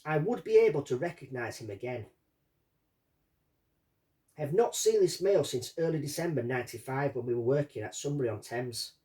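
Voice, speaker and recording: A man reading out a witness statement and commenting on it as a true-crime host, in deliberate short phrases. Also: mid-range voice, lively intonation, dark tone. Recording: distant from the microphone.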